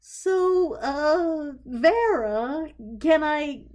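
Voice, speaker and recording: A woman's voice: high-pitched, expressive, extremely slow, darkish tone, voice pushed up, heavily acted. A woman playing an anxious, flustered woman in a storybook, with a hesitant, stammering, nervous manner. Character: flustered, anxious woman startled by her talking houseplant. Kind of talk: audio storybook character voice.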